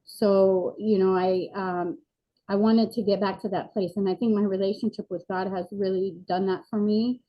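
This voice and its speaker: Earnest woman in her forties, flat-toned. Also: mid-range voice, moderate pace. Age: 40s. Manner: earnest, reflective, frequent fillers. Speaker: interview guest. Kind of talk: candid personal interview. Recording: dull lo-fi sound.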